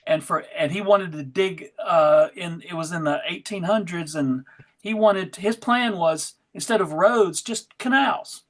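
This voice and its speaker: A man casually hosting a table read, informal and a bit halting as he talks. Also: high-pitched.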